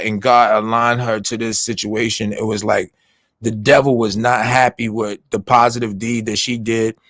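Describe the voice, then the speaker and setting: A man's voice: on the low side, a bit bright, dry recording. A man giving a casual, rambling recap on a livestream, full of fillers, restarts and swearing. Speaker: livestream commentator.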